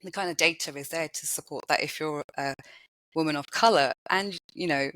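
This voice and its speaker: Bright, high-voiced woman guest. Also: fairly quick, poor audio. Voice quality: high-pitched voice. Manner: thoughtful, restarts often, fillers like "you know". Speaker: podcast guest. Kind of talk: casual interview conversation.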